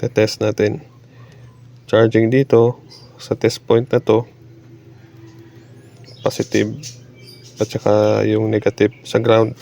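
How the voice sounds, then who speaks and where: A man with a Filipino accent narrating a hands-on repair tutorial casually and haltingly as he works, mixing in English technical terms. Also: lowish voice, monotone, creaky, mellow. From Philippines. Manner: halting, talking while working, mixing Tagalog with English terms. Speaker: repair technician demonstrating a fix. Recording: dull lo-fi audio, faint background noise.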